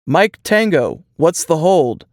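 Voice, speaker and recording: A narrator voicing a brisk, businesslike mission-control dispatcher with crisp military radio phrasing in a suspense audiobook. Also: mid-range voice, very animated, darkish tone, no echo, studio quality. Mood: prompting.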